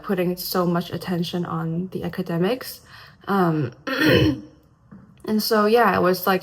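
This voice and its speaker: A young woman from Hong Kong chats to camera in a casual one-take vlog. Her voice is raspy today and sits fairly low. She speaks slowly and hesitantly, filling pauses with ums and restarting her sentences. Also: rough recording. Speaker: vlogger.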